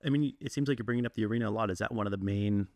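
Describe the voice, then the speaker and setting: Laid back guy interviewing a local candidate, low voice, dry sound. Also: very fast, no room sound. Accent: Canadian English. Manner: easygoing, relaxed questioning. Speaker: interviewer, channel host. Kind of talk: interview questions, casual.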